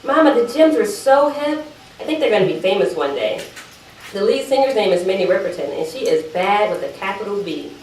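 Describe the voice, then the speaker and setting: A woman's voice: mid-range voice, very animated, a little fast, darkish tone, close to an everyday voice. Woman as teenage sister making excuses to Mama, apologetic yet talkative, dramatic reading in a reverberant room with faint noise. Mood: animated, insistent. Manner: defensive, apologetic, chatty digressions. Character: evasive teenage sister pleading her case to Mama. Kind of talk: dramatic monologue read aloud at a live event. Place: live event room, recorded from a distance. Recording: roomy, distant microphone, faint background noise.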